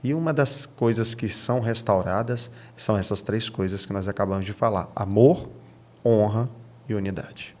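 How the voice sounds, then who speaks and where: A man's voice: lowish voice, a little mellow. Brazilian man in conversational interview. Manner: halting, often seeking agreement with tags.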